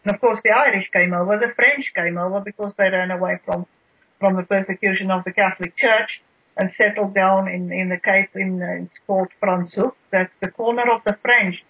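Halting Afrikaans-accented speaker, husky, lo-fi. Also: medium pitch, fairly even intonation. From South Africa. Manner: halting, many repeated words and fillers. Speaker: guest. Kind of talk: radio interview, explaining history. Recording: dull lo-fi radio sound.

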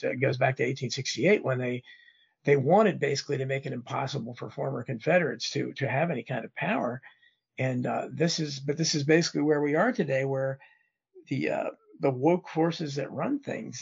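Hoarse, gravelly man with a failing voice. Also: mid-range voice, dull audio. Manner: rambling, halting with restarts. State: losing his voice, throat-clearing. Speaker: guest commentator. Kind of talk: political interview.

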